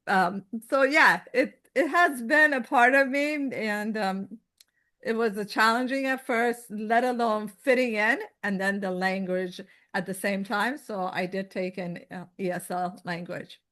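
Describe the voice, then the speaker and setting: A woman in her fifties with a light Persian accent talks as a guest in a relaxed interview. She meanders through her story with lots of fillers and self-corrections, in a mid-range voice. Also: medium pitch, a little slow. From Iran. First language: Farsi. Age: 50s. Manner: rambling storyteller, many fillers and restarts. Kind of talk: casual interview chat.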